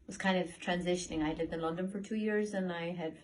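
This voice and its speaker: A woman's voice: lowish voice, steady tone, fast, a little mellow, a little hiss or hum, rough recording. A middle-aged woman with an Irish accent casually answering questions in a family interview. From Ireland. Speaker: interviewee, mother.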